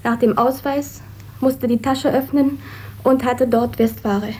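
A woman's voice: sits high, fairly even intonation, slow, darkish tone. An East German woman giving an eyewitness account of her own ordeal in an interview. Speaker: refugee eyewitness. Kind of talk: recounting a personal ordeal in an interview.

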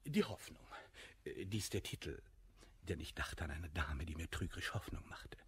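An actor playing a vain courtier and amateur poet in a classical verse comedy for radio, effusive, flattering and affectedly modest. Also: male voice, very deep voice, moderate pace, breathy edge, whispered, heavily acted.

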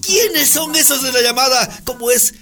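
A man's voice: very high voice, expressive, pushed and bright, faint music behind, heavily acted. A male actor playing a scornful, racist demagogue in a radio drama, mocking and rhetorical, drawing out his words. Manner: mocking, rhetorical, drawn-out emphasis. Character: sneering racist right-wing male opponent, scornful demagogue.

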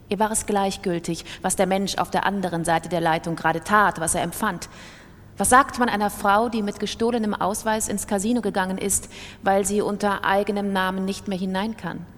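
A woman's voice: medium pitch, steady tone, moderate pace, close to an everyday voice. A woman performing a troubled, defiant gambler and narrator in a literary radio drama. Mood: grave, bleak. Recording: reverberant hall.

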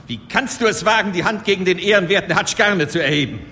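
An actor playing a pompous, blustering police director, indignant and threatening, in a German radio crime drama. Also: male voice, high voice, expressive, fast, in a large hall, music in the background. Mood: outraged.

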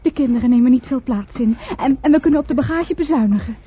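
An actress playing a flirtatious married woman in a radio play, expressive and coaxing. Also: high voice, fast, breathy edge. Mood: anxious pleading. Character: flirtatious married woman fleeing London, pleading then seductive. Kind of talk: Dutch radio play acting. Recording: dull, noisy old radio play recording.

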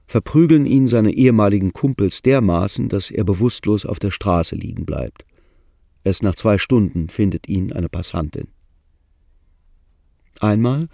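A sober, matter-of-fact chronicler narrates a radio drama in measured literary style. His low voice has a lot of gravelly fry and is recorded very dry. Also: a little mellow, dry recording.

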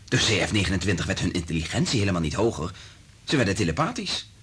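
An actor playing an anxious, principled research scientist in a Dutch radio drama, earnest and emphatic. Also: male voice, low-pitched, sing-song, fast, a bit bright, slightly rough, full character voice. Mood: explaining, uneasy.

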